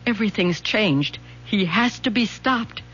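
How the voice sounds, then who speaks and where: A woman playing an anguished but determined middle-aged mother in an old radio drama, halting and earnest. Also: mid-range voice, lively intonation, a bit bright, slightly rough. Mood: anxious, pleading. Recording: muffled, hissy old radio recording.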